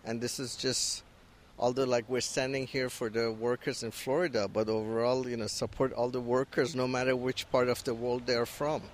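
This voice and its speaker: Young male activist, Iranian accent, halting and filler-heavy, recorded outdoors on a handheld mic with faint traffic behind. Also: medium pitch, little pitch movement. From Iran. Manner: hesitant, many restarts and fillers. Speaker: activist at a rally. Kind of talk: street interview. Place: outdoors at a street protest. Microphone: handheld interviewer mic.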